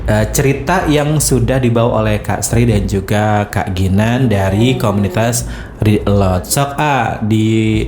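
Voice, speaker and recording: A woman's voice: very deep voice, measured pace, a little mellow. A woman hosting a casual radio chat, playful and teasing with her guests. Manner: playful, laughs often, teasing. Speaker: radio host. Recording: echoey room with faint background noise.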